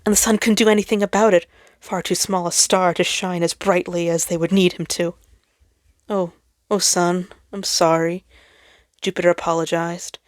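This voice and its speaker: A woman's voice: lowish voice, level tone, brisk, no echo, clean studio sound. A woman reading a story aloud as dramatic scripted narration. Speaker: fan fiction reader.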